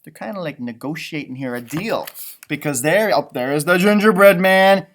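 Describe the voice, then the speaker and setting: A man doing an expressive children's picture book read-aloud, with chatty asides and musing exclamations. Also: on the high side, normal speed. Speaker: storytime reader and elementary teacher.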